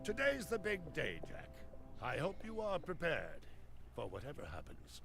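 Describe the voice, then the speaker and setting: An actor playing a wise, stern elderly sage, solemn and grandiose, with a gravelly voice, in a video game cutscene. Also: male voice, a little high, wildly swooping pitch, normal speed, full character voice. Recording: game audio with loud music behind.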